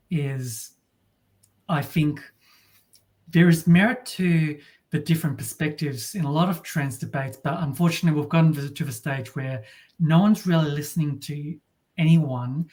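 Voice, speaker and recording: A woman from Australia with a deep voice, talking as an interview guest in a wry, halting way with many restarts. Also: darkish tone. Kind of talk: casual interview talk.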